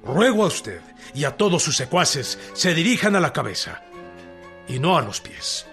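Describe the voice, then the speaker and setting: A proud, defiant Mexican general, declaims with indignant solemnity. His pitch moves widely from phrase to phrase. Light music plays behind him. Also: sing-song, moderate pace, a bit bright. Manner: solemn, emphatic oratory. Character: proud, indignant revolutionary peasant general dictating a defiant letter. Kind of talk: dramatic radio acting, declamatory.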